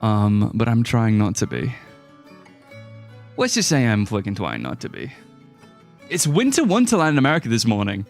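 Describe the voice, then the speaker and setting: A man's voice: fairly low pitch, huge pitch swings, normal speed, creaky, long pauses, soft background music. A playful young man livestreaming a game, greeting chat with a goofy manner and a slightly stuffy nose. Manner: goofy, playful, silly mispronunciations and shifting voices. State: slightly sniffly, stuffy-nosed. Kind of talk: casual stream chat, greeting chat and playing a game.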